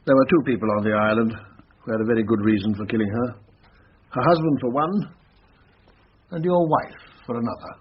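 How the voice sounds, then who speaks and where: An actor with a British accent playing a brisk, direct, matter-of-fact police investigator in a radio drama. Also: male voice, mid-range voice, breathy edge, lightly acted. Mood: probing, official. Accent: British English. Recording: muffled radio broadcast, hissy with clicks.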